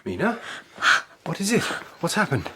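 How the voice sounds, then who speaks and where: An actor playing a shaken, haunted young Victorian husband, urgent and halting, in a radio drama. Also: male voice, fairly high pitch, wildly swooping pitch, a little hiss or hum. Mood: tender, worried.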